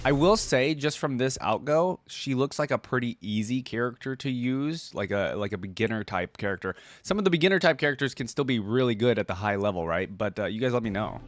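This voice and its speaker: A man's voice: medium pitch, lively intonation, brisk, hardly any pauses, no room sound. A man hosting a reaction video, commenting casually on a game and thinking aloud in an informal way. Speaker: reaction video host, gamer.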